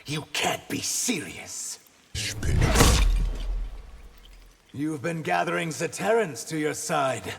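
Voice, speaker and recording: A man's voice: fairly high pitch, expressive, unhurried, creaky, noisy background, exaggerated voice. A man playing an earnest, vengeful reptilian warrior in a video game, speaking with intense, dramatic defiance. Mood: bitter, angry. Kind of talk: video game character dialogue.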